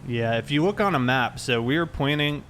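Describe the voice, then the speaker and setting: American male game streamer, relaxed and conversational, chatting casually over faint vehicle noise. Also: mid-range voice, brisk. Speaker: game livestream host. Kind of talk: casual livestream chat while playing.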